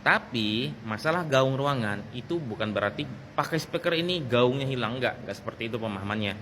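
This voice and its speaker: A man's voice: mid-range voice, animated, brisk, pushed and bright, slight background noise. A man gives a casual, conversational explanation as a vlog host, repeating phrases for emphasis. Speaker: sound technician and video host.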